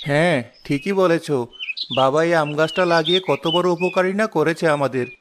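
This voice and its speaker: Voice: medium pitch, a little fast, background noise, close to an everyday voice. An actor playing a contented, obliging grown son in a cartoon story. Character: contented grown son, grateful family man.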